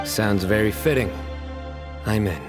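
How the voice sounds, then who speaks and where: An actor playing a calm, solemn and direct young man in a video game, with a deliberate, terse delivery. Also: fairly low pitch, over music, natural voice. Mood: resolute.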